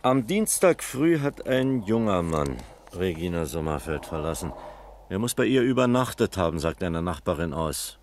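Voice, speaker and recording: Deep-voiced, terse police investigator. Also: male voice, very animated, normal speed, a little hiss or hum. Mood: reporting. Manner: terse, businesslike questioning. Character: sober, methodical male police investigator. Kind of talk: German radio crime play acting.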